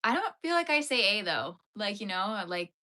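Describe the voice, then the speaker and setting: A woman's voice: mid-range voice, very animated, a little fast, sharp tone. A young woman, chatting playfully with her livestream viewers while she crochets. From Canada. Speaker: livestream host.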